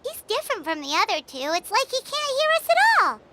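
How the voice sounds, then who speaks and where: A woman voicing a tiny, eager, excitable fairy companion girl in a video game, talking in an exclamatory way. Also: very high voice, fast talker, very noisy, exaggerated voice. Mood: worried. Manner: refers to self in third person, exclamatory.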